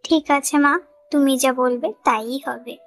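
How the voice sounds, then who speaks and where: A woman's voice: sits high, steady tone, unhurried. A woman voicing a kind, humble young village girl in a fairy-tale cartoon, speaking earnestly.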